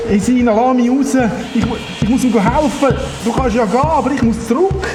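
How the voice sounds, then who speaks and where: A woman with a Swiss German accent playing a small, impulsive, loyal friend in a children's radio play, halting and insistent. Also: on the high side, lightly acted. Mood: pleading. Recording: loud music behind.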